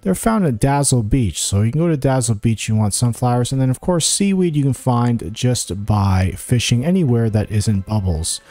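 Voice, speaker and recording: A man's voice: mid-range voice, lively intonation, normal speed, hardly any pauses, dark tone, no room sound. A man giving a casual video game walkthrough, thinking aloud and chatting to the characters as he plays. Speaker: gaming guide host. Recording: music behind the voice.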